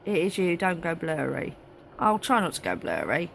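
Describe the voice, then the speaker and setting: A woman, chatting casually on a live phone stream from a craft studio, slightly hoarse and rambling with many fillers. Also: sits low, big pitch swings, moderate pace, a crowd in the background. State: slightly hoarse, losing her voice. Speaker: craft group host. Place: cluttered craft studio, indoors. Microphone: phone held at arm's length.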